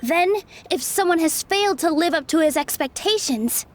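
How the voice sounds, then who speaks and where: An actress playing a gentle, loyal young dancer in a game dub, soft and sincere as she protects a friend. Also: very high voice, animated, normal speed, a little hiss or hum. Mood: hushed, sympathetic. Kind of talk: dramatic game dialogue.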